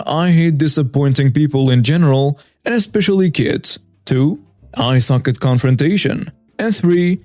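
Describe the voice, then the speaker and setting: A young man tells a first-person story in an easygoing, wry way, his voice a touch higher than most men's. It is recorded close and dry, with faint music running underneath. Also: dark tone, no echo. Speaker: story narrator.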